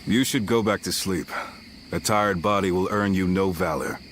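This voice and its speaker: A man playing a steady, determined warrior of ancient China in a video game, measured and composed. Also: low voice, slight background noise. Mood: calm. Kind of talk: video game dub acting.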